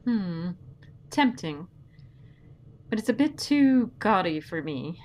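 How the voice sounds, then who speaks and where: An actress playing a composed, principled, pedantic heroine in an audio drama, speaking in a measured, dry, precise way. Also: female voice, medium pitch, sing-song, a little mellow. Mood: dry, teasing.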